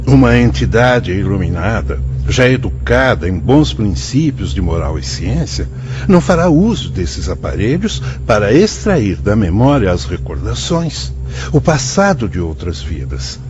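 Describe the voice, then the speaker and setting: An actor playing a wise, patient priest and mentor in a Brazilian radio drama, husky, solemn and didactic, with a Brazilian accent. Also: male voice, lowish voice, big pitch swings, unhurried, background noise. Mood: solemn, reassuring. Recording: dull, muffled studio audio.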